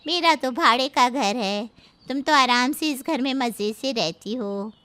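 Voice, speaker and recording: A woman's voice: high-pitched, a little slow, a bit bright, a little hiss or hum. A woman voicing a demanding, grasping middle-aged aunt in a cartoon story, complaining and insistent.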